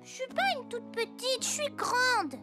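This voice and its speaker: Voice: very high voice, a little slow. A performer voicing a playful, gleeful little toddler girl who becomes a giant, with babyish sing-song phrasing in a children's cartoon. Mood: insistent. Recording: loud children's music behind voice.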